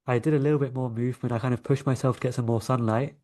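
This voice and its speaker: A man with a London accent speaks at an ordinary middle pitch. He talks conversationally, as a guest sharing personal stories in an interview. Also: steady tone, rapid-fire, dark tone. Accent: London English. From London, England. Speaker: interview guest, coach and energy healer.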